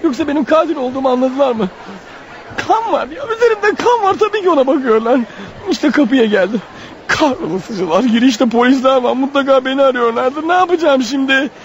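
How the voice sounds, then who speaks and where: Radio play lead: wounded runaway, very high strained pitch, breathless urgent muttering, dull-sounding recording. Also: male voice, animated, fairly quick, a little hiss or hum. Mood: panicked, straining. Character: desperate wounded fugitive man, exhausted, racing to his wife. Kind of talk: radio theatre acting, inner monologue. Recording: muffled radio drama recording. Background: music underneath.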